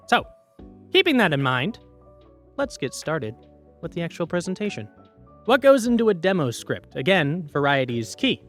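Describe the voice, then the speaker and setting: A man presenting an instructional workshop in an informal, emphatic, conversational way. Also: on the high side.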